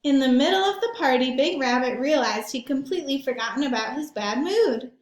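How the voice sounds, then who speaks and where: High-voiced woman reading aloud to children, roomy echo. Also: brisk. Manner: animated, emphatic, questioning the listeners. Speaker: read-aloud storyteller for young children. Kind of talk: expressive picture-book read-aloud with asides to children.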